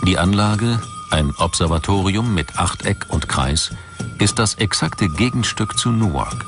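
Male documentary narrator, very low register, factual scripted read with a music bed underneath. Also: expressive, unhurried. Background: background music.

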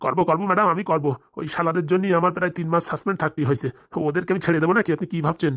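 A man's voice: fairly high pitch, steady tone, rapid-fire, fairly bright tone, dry recording, clean studio sound, natural voice. A man voicing a greedy, fawning corrupt policeman with a rural Bengali accent in a comedy cartoon. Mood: eager, fawning. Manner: obsequious, boastful. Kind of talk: comic cartoon dialogue.

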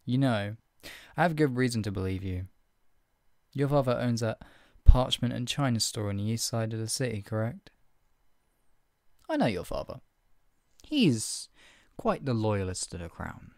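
A man's voice: on the low side, expressive, normal speed, a little mellow, no echo, studio quality. A man playing a stern, menacing redcoat soldier in a scripted roleplay interrogation, with a clipped, authoritative, condescendingly polite manner. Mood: measured, persuasive. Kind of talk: ASMR roleplay, one-sided scripted dialogue.

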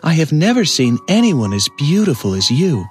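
A man voicing a gentle, courtly flower king in a children's cartoon. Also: fairly high pitch, sing-song, moderate pace. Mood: gallant. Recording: faint music behind the voice.

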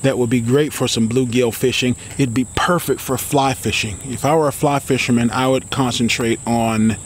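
A man's voice: mid-range voice, steady tone, moderate pace. American man talking over outdoor insect noise. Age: middle-aged. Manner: informal, rambling explanation with repetitions. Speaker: fishing vlogger. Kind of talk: casual instructional talk to camera. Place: outdoors beside a building in a green yard. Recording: clearly noisy outdoor insect ambience.

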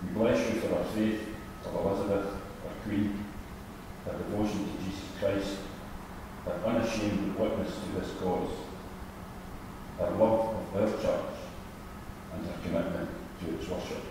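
An older man reading a formal prayer in solemn, measured phrases at a church lectern. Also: deep voice, steady tone. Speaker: church member leading prayers. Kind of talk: formal read-out intercessory prayer. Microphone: gooseneck lectern microphone. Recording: echoey church hall, distant, noisy.

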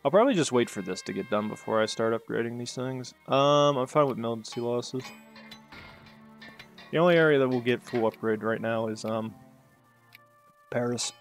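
Gravelly-voiced man rambling over a strategy game. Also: mid-range voice, moderate pace, pauses often, faint music behind. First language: English. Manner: rambling, sarcastic asides to himself. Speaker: gaming channel host. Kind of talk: casual strategy-game commentary.

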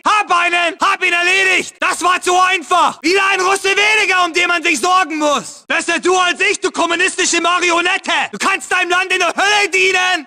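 Fierce, high-pitched German soldier barking. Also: male voice, pushed and bright, full character voice. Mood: triumphant, scornful. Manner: urgent, barking. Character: fierce, hostile German WWII infantry soldier. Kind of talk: video game battle callouts.

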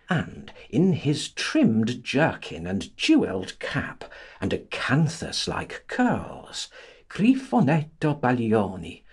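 A man narrating a literary audiobook in a theatrical, expressive, dramatic reading style. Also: medium pitch, slightly rough.

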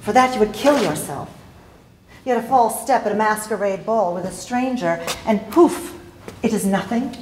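A stage actress in her thirties playing a mysterious, teasing foreign lady with formal, deliberate phrasing. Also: medium pitch, animated, a little mellow, close to an everyday voice. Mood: scornful, severe. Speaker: stage actor. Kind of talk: live theatrical stage acting. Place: theater stage, indoors. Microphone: distant camera. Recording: echoey theater stage, faint hiss.

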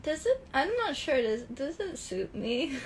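A woman's voice: sits high, very animated, a little hiss or hum. A young actress casually answering fan comments on a phone live stream from home, stumbling through restarts as she talks. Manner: reads comments softly, answers with halting restarts.

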